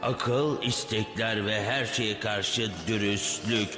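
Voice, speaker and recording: A man's voice: fairly low pitch, steady tone, slow. A man voicing an old, proud, imperious dragon in a dubbed cartoon. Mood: grudging respect. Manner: imperious, weighty. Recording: cavernous echo with music behind.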